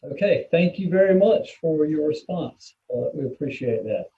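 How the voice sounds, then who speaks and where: A man chairing a public meeting in a polite, halting, procedural way. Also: fairly high pitch, lively intonation. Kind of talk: informal procedural meeting moderation. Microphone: phone or conference call. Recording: tinny phone-like call audio.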